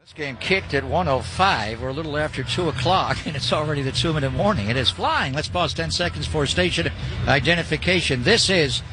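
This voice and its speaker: American guy calling football live on radio, higher-ish voice, noisy stadium behind him. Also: expressive, fairly quick. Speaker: radio play-by-play announcer. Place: broadcast booth at a stadium. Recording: live broadcast over clear stadium noise.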